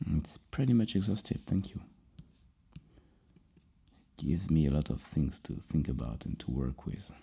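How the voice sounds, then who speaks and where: Super low mellow guy doing soft asmr therapist talk, kinda rambling and hesitant. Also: expressive, measured pace, gravelly, pauses often, breathy edge. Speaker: ASMR performer playing a psychologist.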